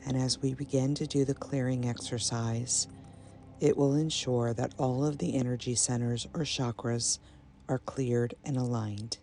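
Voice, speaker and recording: A woman guiding a meditation in a soothing, measured manner, pausing between short phrases. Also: extremely low pitch, fairly even intonation. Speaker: meditation guide. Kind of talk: guided meditation, slow and soothing. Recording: soft binaural music bed. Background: ambient binaural meditation music.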